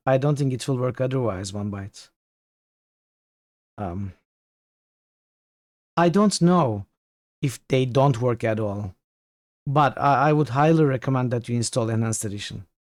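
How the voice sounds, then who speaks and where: A hoarse man in his home streaming setup talks casually to his viewers, rambling and halting as he thinks aloud. His voice sits at an ordinary pitch, and the room is dry, with close sound and no echo. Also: darkish tone. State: hoarse from illness, losing his voice. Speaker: game streamer. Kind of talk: casual livestream chat.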